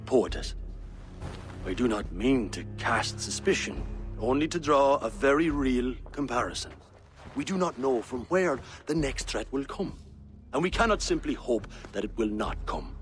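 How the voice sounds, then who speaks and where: A man's voice: mid-range voice, expressive, faint music behind, natural voice. Man acting a stern, formal royal counsellor. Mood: conciliatory, solemn. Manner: formal, accusatory, measured. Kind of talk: dramatic video game acting.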